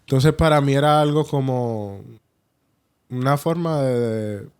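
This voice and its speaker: A man at a medium pitch. He hosts a podcast, steering a casual group discussion. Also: measured pace, a little mellow, smooth. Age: 20s.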